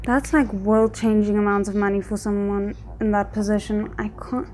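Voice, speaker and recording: A woman giving casual, spontaneous reaction commentary as a video host, thinking aloud in an animated, exclamatory way. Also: mid-range voice, normal speed, slight background noise.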